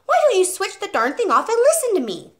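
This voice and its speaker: A courteous young schoolteacher, prim and precise, her voice lifting high and swooping as a woman reads her part aloud. She stays polite yet grows firm and pointed. Storybook read-aloud. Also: very animated, normal speed. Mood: indignant. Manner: precise, courteous, turning sharp and insistent.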